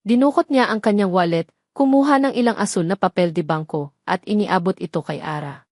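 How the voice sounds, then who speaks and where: A woman's voice: medium pitch, brisk, darkish tone, no room sound. Woman narrating, dry close sound. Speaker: storyteller. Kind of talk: scripted romance story narration.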